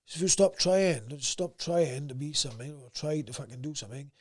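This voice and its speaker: A young man with a South Wales valleys accent and a gruff voice, talking bluntly and swearing freely as a podcast guest. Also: a little high, expressive, fairly quick, darkish tone. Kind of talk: casual interview chat.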